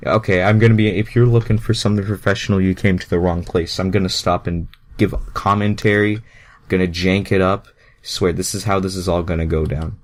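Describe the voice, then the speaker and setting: A man's voice: low-pitched, little pitch movement, brisk. A man reading fan fiction aloud in an amateur, mocking way, crude and self-deprecating, breaking off to comment.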